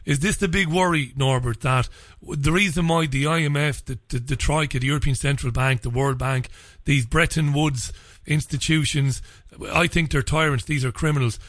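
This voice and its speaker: A man's voice: on the high side, steady tone, normal speed, hardly any pauses, a bit bright, dry recording. A man hosting a radio interview, asking pointed questions with an emphatic, accusatory edge. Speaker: radio host.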